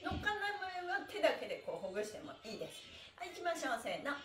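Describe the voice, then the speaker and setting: A woman's voice: sits high, big pitch swings, fast, over music. A middle-aged woman cheerfully and encouragingly leading a stretching class, talking and chanting while she exercises. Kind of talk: live exercise instruction with chanted counts. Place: small indoor room with bare wall, on a mat. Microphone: camera a few metres away. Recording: roomy echo, distant mic.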